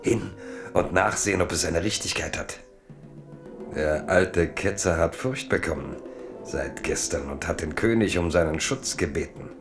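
A man narrating a literary radio play as a theatrical, measured storyteller. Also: sits low, music in the background. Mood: wry, excited.

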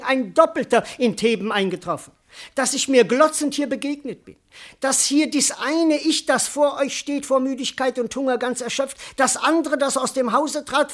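A put-upon, cheeky servant in a classical radio comedy. The voice sits fairly high. The delivery is earnest and wordy, with stubborn, emphatic protest. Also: female voice. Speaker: actor. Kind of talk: classical verse comedy, radio drama acting.

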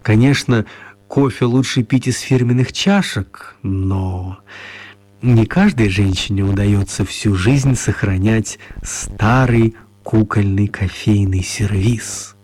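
A man with a husky voice narrating a scripted children's radio play as the storyteller. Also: lowish voice, slow, darkish tone.

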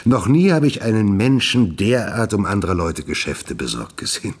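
An actor playing a troubled, secretive gentleman scientist in a German radio play, urbane, condescending and evasive. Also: on the low side, very animated, normal speed, slightly rough. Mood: amused, disdainful.

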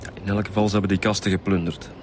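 A man's voice: sits low, little pitch movement, very fast, noisy background, close to an everyday voice. A man playing a methodical, matter-of-fact homicide detective in a radio drama, speaking with a Flemish accent. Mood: factual, grave. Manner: methodical, factual. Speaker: inspector.

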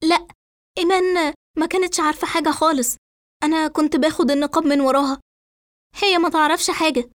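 Lady narrator doing a shaky desperate young egyptian woman, high pitched, pleading, story drama. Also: fairly even intonation, fast, pauses often, close to an everyday voice. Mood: trembling, defensive. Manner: pleading, agitated. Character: distraught, trembling young woman arsonist under interrogation.

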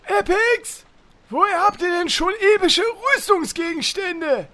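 Squeaky, fussy cartoon uncle boss. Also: male voice, unhurried, bright, forceful tone, heavily acted. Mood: reproachful. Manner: grumbling, reproachful. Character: bossy, fussy cartoonish uncle, male guild leader.

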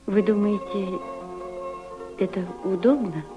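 An actress playing a homesick, quietly defiant Russian émigré woman in a Soviet radio drama, with a lyrical, dreamy delivery. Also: mid-range voice, fairly even intonation, slow, music in the background, natural voice. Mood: gentle, hesitant. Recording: dull lo-fi radio play recording.

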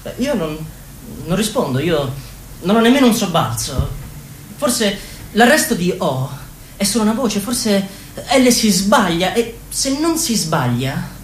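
A woman playing an uneasy government minister telling the story in a radio play, with a halting delivery. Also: medium pitch, big pitch swings, reverberant, a little hiss or hum. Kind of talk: radio drama monologue.

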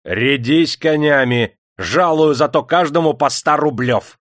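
Man acting a loud, imperious factory-owning bully. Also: a little high, unhurried, no echo, studio quality, pitched above the performer's own voice. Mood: mocking command. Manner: barking, taunting, imperious. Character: despotic, jeering Russian factory owner, hot-tempered bully.

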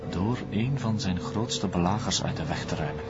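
Obedient cult follower, deep voice, solemn, submissive, television drama, muffled audio over music. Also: male voice, lively intonation, moderate pace. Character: obedient male disciple of a satanic cult. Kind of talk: television crime drama acting.

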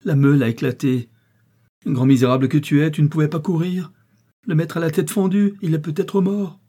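A man's voice: fairly low pitch, brisk, mellow, natural voice. A man voicing an anxious, easily shaken mill hand in a storybook reading, with distressed, pleading delivery. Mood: horrified.

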